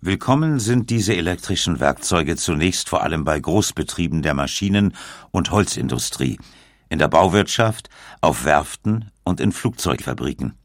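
A man reading scripted documentary narration in a measured manner, with dramatic pauses. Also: deep voice, expressive, no echo, studio quality.

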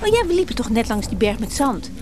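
Affectionate girlfriend amid loud noise. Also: fairly high pitch, big pitch swings, fast talker. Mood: tender, curious. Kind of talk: naturalistic Dutch radio drama acting. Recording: very noisy radio drama recording, vehicle ambience.

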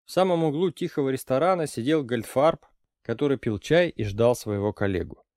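A man's voice: medium pitch, brisk, no echo. Man narrating in a dry, close voice. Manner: even, steady storytelling. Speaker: audiobook narrator. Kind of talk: scripted narration.

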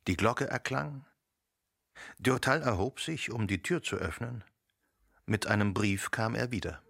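A man's voice: on the low side, unhurried, gravelly, fairly bright tone, dry recording, full character voice. An actor playing a serious male storyteller, giving literary narration in a radio drama. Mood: matter-of-fact. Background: music.

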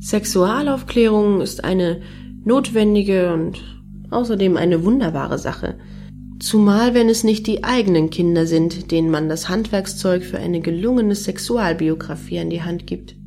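A woman's voice: medium pitch, unhurried, warm tone, faint music behind, natural voice. An actress playing a bossy, protective female fan in a German radio play, opinionated and teasing.

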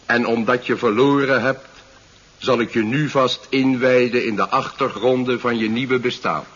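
An actor playing a calm, condescending Martian captor in a 1970s science fiction radio drama, speaking in a measured, patronising way. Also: male voice, mid-range voice, husky. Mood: smug, firm. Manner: measured, soothing, patronising, speech-making. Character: calm, condescending Martian captor addressing his defeated human prisoner. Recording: dull 1970s radio recording.

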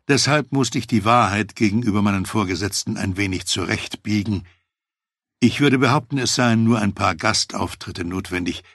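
A man reading a first-person memoir as an audiobook, in a steady storytelling manner that lifts for quoted dialogue. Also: on the low side, gravelly, husky, no echo, studio quality. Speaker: audiobook narrator.

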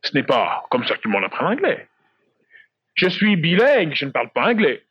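A man's voice: on the high side, wildly swooping pitch, a little fast, breathy edge, dry recording. A man with a Québécois accent giving a public lecture, talking emphatically, repeating himself and firing rhetorical questions at the audience. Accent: Québécois French. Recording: dull lo-fi dry recording.

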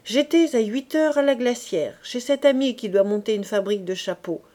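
A woman's voice: fairly high pitch, normal speed, close to an everyday voice. A woman reading as a surly, snapping young man. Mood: dismissive. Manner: snappish, loud, dismissive. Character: young man, irritable, brusque surly partner.

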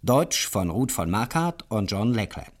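A radio announcer, a man, reads a formal announcement slowly and solemnly, stressing each word. His lowish voice rises and falls widely. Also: very animated. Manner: slow, solemn, emphatic.

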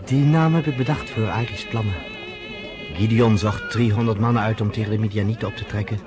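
A man plays an earnest guide in a radio play, polite and explanatory. His voice sits low. Music is clearly audible beneath him. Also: fairly quick, close to an everyday voice. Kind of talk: radio drama acting.

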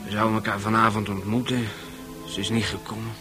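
An actor playing a lonely, touchy young man in a Dutch radio drama, giving halting, terse replies. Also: fairly low pitch, little pitch movement, close to an everyday voice. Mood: dejected. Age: young adult. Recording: music playing behind.

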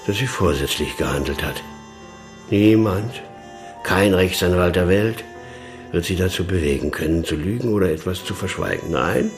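Older police commissioner, gravelly and emphatic. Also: male voice, sits low, heavily acted. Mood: resigned, insistent. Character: gruff older male police commissioner, strict yet paternal. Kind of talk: radio crime drama acting.

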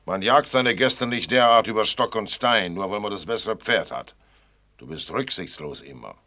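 Gruff, deep-voiced fussy older father. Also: moderate pace, pushed and bright. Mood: fussing, scolding. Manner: blunt, clipped, fussing. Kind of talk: German radio play acting.

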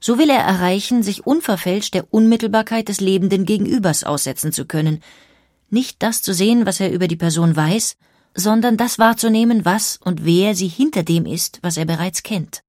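A woman narrating a scripted biographical documentary in a measured, precise way. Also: mid-range voice, a little mellow, no room sound, studio quality.

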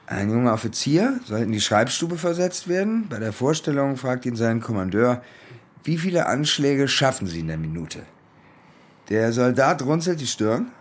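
A man's voice: medium pitch, very animated, normal speed. A man acting in a radio drama as an unhinged young soldier who barks orders and turns falsely friendly. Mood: taunting. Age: young adult. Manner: volatile, barking orders, abruptly falsely friendly. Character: menacing unhinged young soldier holding teenagers hostage.

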